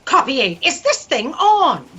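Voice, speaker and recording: A woman's voice: high-pitched, big pitch swings, unhurried, a bit bright, lo-fi sound, heavily acted. A woman playing an exasperated cosmic editor-in-chief in a satirical drama, pompous and scolding. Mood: imperious, exasperated. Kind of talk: sci-fi satire audio drama. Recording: slightly noisy video-call audio.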